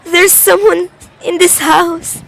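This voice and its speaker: Young woman acting a very high-voiced, frightened invalid woman. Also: fairly even intonation, gravelly, fairly bright tone, heavily acted, poor audio. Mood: alarmed. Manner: melodramatic, anxious, halting. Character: frightened, demanding invalid woman alone in her house. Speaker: student performer in a class radio drama. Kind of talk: suspense radio drama acting.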